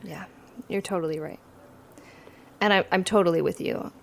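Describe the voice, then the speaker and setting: A woman's voice: lowish voice, fairly even intonation, normal speed, creaky. A young woman playing an ambitious finance student in an audio drama, talking in a dry, self-mocking way. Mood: wry. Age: young adult.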